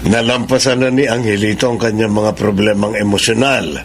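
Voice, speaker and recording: A man's voice: fairly low pitch, steady tone, brisk, soft background music, natural voice. An actor playing a serious, reflective father in a radio drama, speaking soberly. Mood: sober, regretful. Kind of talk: Filipino radio drama acting.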